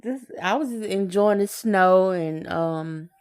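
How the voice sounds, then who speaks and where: A woman's voice: mid-range voice, takes it slowly, darkish tone, clean tone. A woman co-hosting a casual podcast, laid-back and colloquial in short replies. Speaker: podcast co-host. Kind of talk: casual couple's podcast chat.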